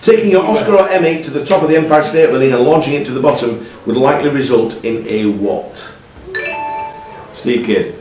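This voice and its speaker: Echoey male quizmaster, mid-pitched, some distance off. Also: a little fast, darkish tone. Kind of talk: live pub quiz hosting, reading out questions and judging answers. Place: pub room. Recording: echoey pub room, distant mic, music behind.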